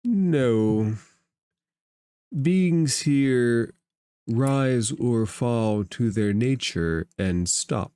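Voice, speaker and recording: A man narrating a tabletop role-playing game as game master, with a measured, halting delivery. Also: mid-range voice, lively intonation, dark tone, clean tone, no room sound.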